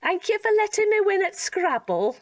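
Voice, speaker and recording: A woman's voice: extremely high pitch, big pitch swings, normal speed, no echo, clean studio sound. An actress playing a kindly, chatty older woman, a motherly family friend, in a radio drama. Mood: chatty, affectionate.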